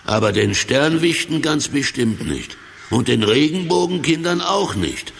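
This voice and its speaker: An actor playing a sleepy, good-natured creature sidekick with a conscience, speaking hesitantly and earnestly in a children's audio drama. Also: male voice, fairly high pitch, very animated, a little slow, gravelly, a bit bright, slight background noise, heavily acted. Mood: scolding.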